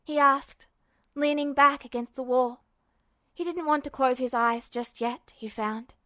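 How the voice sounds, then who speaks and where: A woman's voice: high voice, steady tone, unhurried, bright, forceful tone. A woman reading scripted fiction aloud as a narrator. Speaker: podfic narrator.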